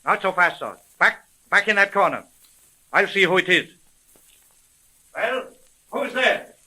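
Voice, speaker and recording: A man playing a wisecracking, commanding homicide sergeant in a 1930s radio drama, with colloquial, brisk speech. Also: high-pitched, animated, bright, forceful tone, slightly rough, exaggerated voice, low-quality recording. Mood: bossy, impatient. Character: gruff, wisecracking male police homicide sergeant.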